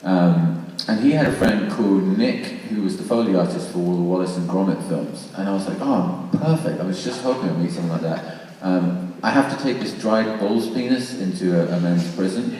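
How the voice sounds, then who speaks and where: Low-voiced man in a very echoey hall. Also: normal speed, few pauses, dark tone. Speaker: panel speaker who made the recording and takes audience questions. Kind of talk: informal panel discussion answer. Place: large reverberant indoor venue, live event. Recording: very echoey hall, far mic, faint noise.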